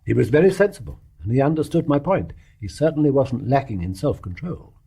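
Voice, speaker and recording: An actor playing a blunt, composed British family doctor with a formal manner in a radio drama. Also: male voice, medium pitch, lively intonation, fairly quick, gravelly, dark tone, slightly rough. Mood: measured. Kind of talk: British stage-play radio acting.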